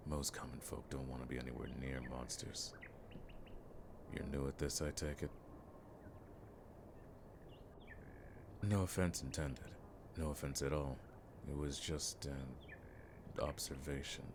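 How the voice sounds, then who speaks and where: A man playing a weathered, world-weary veteran monster hunter in a fantasy audio drama, with a gravelly voice and a dry, wryly formal way of speaking. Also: extremely low pitch, noisy background. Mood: weary, frank.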